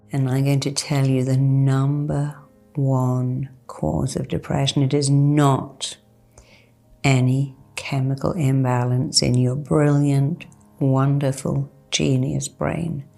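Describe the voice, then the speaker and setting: A woman with a low, gravelly voice leads a guided meditation. She takes her time, repeating key phrases with deliberate, reassuring emphasis, like a therapist instructing a client. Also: darkish tone. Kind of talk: guided meditation, soothing hypnotherapy-style instruction.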